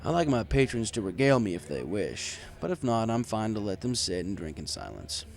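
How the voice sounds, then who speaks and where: A man's voice: fairly low pitch, big pitch swings, a little fast, creaky. A man reading a first-person story aloud in a wry, conversational way. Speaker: story reader. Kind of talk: first-person scripted fan fiction narration.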